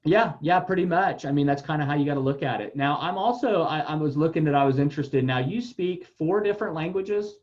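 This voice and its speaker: A man's voice: fairly high pitch, brisk, hardly any pauses, a little mellow. A man hosting a casual podcast interview, rambling with many fillers and repeated words. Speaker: podcast host. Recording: dull lo-fi audio.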